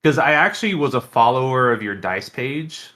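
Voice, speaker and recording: A man chats casually as a podcast host. His voice is bright and forceful at an average pitch, and he rambles along enthusiastically. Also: expressive, moderate pace. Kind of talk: casual chat.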